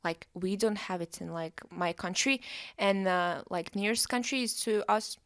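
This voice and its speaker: A woman telling an animated story and using a lot of filler words. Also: medium pitch, normal speed, clear, resonant tone, dry recording, clean studio sound. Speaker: interviewee.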